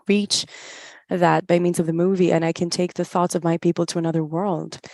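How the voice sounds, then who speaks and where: Low, creaky-voiced woman interpreting. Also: a little mellow, dry recording. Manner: halting phrases with pauses mid-thought. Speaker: interpreter voicing another speaker's words. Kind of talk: live spoken interpretation of a talk.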